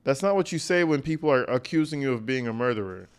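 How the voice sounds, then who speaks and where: A man's voice: mid-range voice, lively intonation, fast, clear, resonant tone. A man hosting a livestream, giving casual, profane reaction commentary in a blunt, mocking, slangy way. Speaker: livestream host reacting to video.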